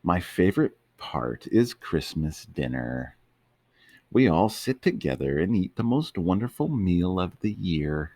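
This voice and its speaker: A man voicing a gentle, loving female dog in a bedtime storybook reading, lifting his very low voice higher for her. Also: big pitch swings, a little slow, dry recording, exaggerated voice. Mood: tender.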